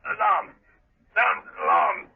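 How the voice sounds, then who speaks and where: An actor playing an injured army officer, groggy and gasping out an alarm in a radio drama, on a dull recording. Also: male voice, takes it slowly, natural voice. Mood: dazed, urgent.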